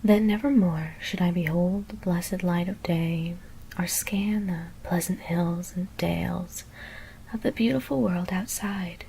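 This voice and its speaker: A woman whispering a scary story in ASMR style, reading in a breathy, deliberate, literary manner. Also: fairly low pitch, warm tone, slight background noise, rough recording. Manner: measured, deliberate literary narration.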